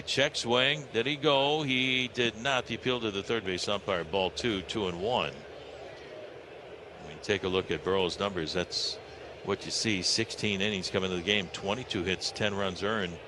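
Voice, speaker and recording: American baseball play-by-play man, noisy broadcast. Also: fairly low pitch. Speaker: baseball radio play-by-play announcer. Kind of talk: live play-by-play commentary. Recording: radio broadcast with clear background noise.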